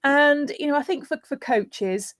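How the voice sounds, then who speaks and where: A woman's voice: high voice, measured pace, fairly bright tone, no room sound, studio quality. A woman speaking as a guest voiceover artist, giving practical tips conversationally and illustrating points with everyday examples.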